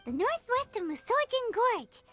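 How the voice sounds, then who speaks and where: Very high squeaky fairy girl. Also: very animated, measured pace, fairly bright tone, soft background music, heavily acted. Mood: thoughtful. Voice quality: squeaky, childlike. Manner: eager, bossy urging. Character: small, excitable, childlike floating fairy companion girl. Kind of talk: video game voice acting.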